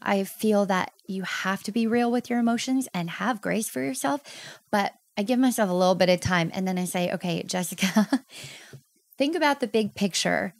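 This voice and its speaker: A woman's voice: mid-range voice, normal speed, creaky, no echo. A woman in her thirties, a podcast guest, telling her personal story in an earnest, heartfelt way. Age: 30s. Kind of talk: candid personal interview.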